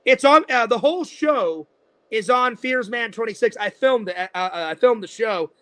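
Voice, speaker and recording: A man's voice: extremely high pitch, expressive, moderate pace, fairly bright tone, smooth. A man in his forties hosting a casual interview, rambling and restarting his sentences. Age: 40s. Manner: rambling, repeats words and restarts often. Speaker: host.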